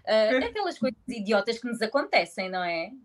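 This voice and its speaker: A woman's voice: a little high, very animated, a little fast. A woman in her forties from Angola who grew up in Portugal, chatting casually and playfully as an interview guest. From born in Angola, grew up in Portugal. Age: 40s. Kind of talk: casual chat.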